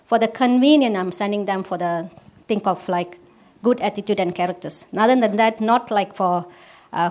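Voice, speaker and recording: A woman from Malaysia, giving a personal testimony at a church service in halting English with restarts. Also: mid-range voice. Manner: halting, with restarts and simple non-native grammar.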